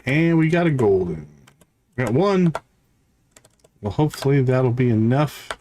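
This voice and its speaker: A man's voice: medium pitch, animated, a little slow, warm tone, a little hiss or hum. A man giving casual live gameplay commentary, thinking aloud and chatting with viewers as he plays. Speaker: live streamer playing a farming game.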